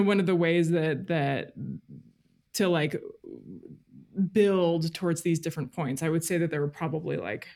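Female interview guest, strong vocal fry, mid-low conversational register, thoughtful and halting delivery. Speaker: podcast guest, journalist.

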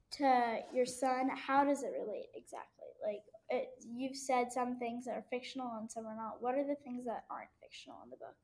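A woman with a slightly high voice asks casual, loosely worded interview questions, filling gaps with "like" and "um". She sounds relaxed and conversational. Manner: casual, uses "like" often.

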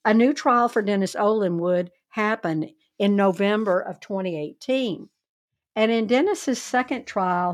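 A woman hosting a true crime podcast, telling the case in a chatty, animated, wry way. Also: medium pitch, no room sound, studio quality. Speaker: podcast host.

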